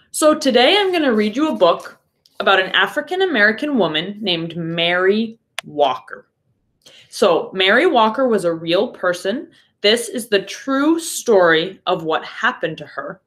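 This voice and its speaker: A woman, a primary school teacher, speaking deliberately and instructively to young pupils as in a read-aloud storytime. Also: mid-range voice, a bit bright. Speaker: third grade teacher.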